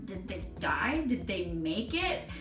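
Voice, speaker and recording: A woman's voice: lowish voice, very animated, takes it slowly, a bit bright, faint music behind. A young adult woman from Chile, a nursing vlogger, talking casually and expressively to camera, laughing often. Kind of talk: casual vlog talk to camera. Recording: echoey room, mic at a distance.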